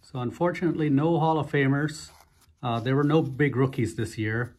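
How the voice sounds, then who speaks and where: Male vlog host, slightly husky, easygoing and unhurried, narrating a pack opening. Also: medium pitch. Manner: relaxed, unhurried, frequent "uh" fillers. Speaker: host of a card-opening channel. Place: indoors at a table, hands only on camera.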